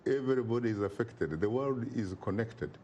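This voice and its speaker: A man speaks as an interview guest in a warm, mellow voice. He is emphatic and oratorical and drives his points home through repetition. Faint noise sits under the recording. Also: medium pitch, moderate pace, highs cut off. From Uganda. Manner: emphatic and oratorical, repeats phrases for effect. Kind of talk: impassioned interview talk.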